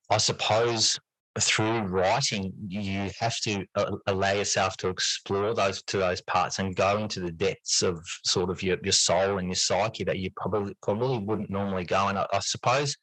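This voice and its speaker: A man's voice: fairly low pitch, bright, forceful tone, no echo, rough recording. A man answering as an interview guest in a reflective, conversational way, thoughtfully searching for his words and often repeating them. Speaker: interview guest, teacher and writer.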